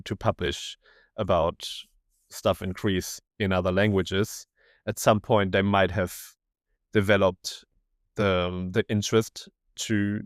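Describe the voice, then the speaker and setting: A man's voice: fairly low pitch, no room sound, clean studio sound. A young German man whose first language is German, talking as a podcast guest in casual conversation, hesitant and full of restarts as he thinks aloud. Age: young adult. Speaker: guest researcher.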